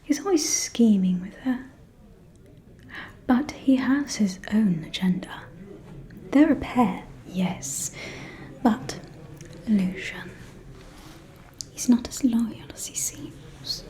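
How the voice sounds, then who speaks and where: A woman playing a gossiping, catty aristocratic vampire lady in a whispered ASMR roleplay, with a breathy, confiding, arch delivery. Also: medium pitch, animated, dark tone. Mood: conspiratorial, catty. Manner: slow, confiding, arch, drawling.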